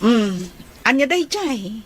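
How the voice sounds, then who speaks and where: Animated, friendly Filipino big sister played by an actress. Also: female voice, fairly high pitch, slow pace, slightly rough, full character voice. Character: friendly elder sister figure to young man. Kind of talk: radio drama acting.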